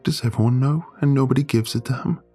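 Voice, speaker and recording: Male reader doing a raised, breathy teen schoolgirl, tired and resigned, over faint music. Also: on the low side, slow pace, darkish tone, voice pushed up. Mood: despairing. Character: troubled teenage schoolgirl, weary and defiant.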